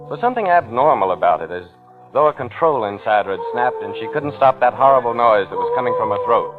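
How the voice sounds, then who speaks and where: A man's voice: medium pitch, lively intonation, fast, music in the background, natural voice. An actor playing a brooding, wry man in a vintage radio suspense drama, with muffled old sound. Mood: brooding, tense. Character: brooding, sardonic man, haunted narrator of suspense tale. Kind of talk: 1940s radio acting, first-person narration.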